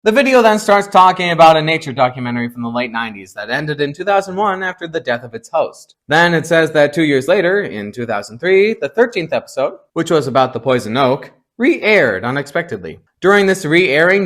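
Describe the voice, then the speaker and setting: A man's voice: on the high side, lively intonation, fairly quick, few pauses, a bit bright. A man narrating a scripted explainer, with informal, playful asides to the viewers. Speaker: video essay narrator.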